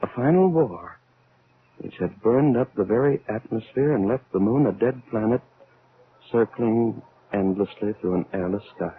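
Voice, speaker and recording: An actor in an old-time radio drama playing a troubled elderly scientist, speaking in a halting, brooding, theatrical way. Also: male voice, lowish voice, husky, phone-quality sound. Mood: brooding, intense. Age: older. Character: grave, troubled elderly scientist aboard a spaceship. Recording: band-limited vintage radio recording.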